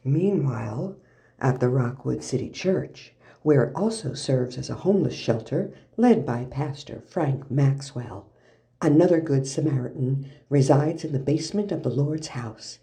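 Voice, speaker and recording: A man's voice: medium pitch, lively intonation, unhurried, dark tone. A man acting as the dramatic narrator of a superhero audio drama, reading scripted narration. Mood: dramatic, solemn. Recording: faint music behind the voice.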